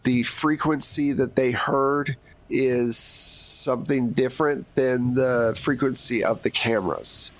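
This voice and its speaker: Guy game master, middle pitch, laid back, just describing what the players see. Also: slow, rough recording. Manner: relaxed, descriptive, answers players' questions. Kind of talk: narrating a tabletop horror game.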